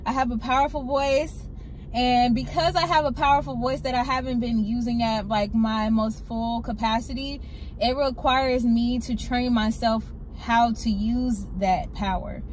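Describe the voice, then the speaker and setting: A chatty, upbeat woman in her thirties with a fairly high voice talks straight into a phone camera on her dashboard. She sits in a parked car, and clear street and engine noise runs under her voice. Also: fairly even intonation, unhurried. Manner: chatty and upbeat, repeats phrases for emphasis. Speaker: vlogger. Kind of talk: casual vlog chat.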